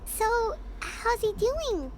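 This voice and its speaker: A man voicing a tiny, cute game sidekick in a squeaky falsetto as he reads dialogue aloud. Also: big pitch swings, very slow, slight background noise, heavily acted, poor audio. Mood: curious.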